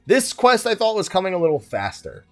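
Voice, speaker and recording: A man's voice: sits high, wildly swooping pitch, fairly quick, faint music behind. A man giving casual let's play commentary, rambling and thinking aloud as he plays. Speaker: gaming YouTuber hosting a let's play.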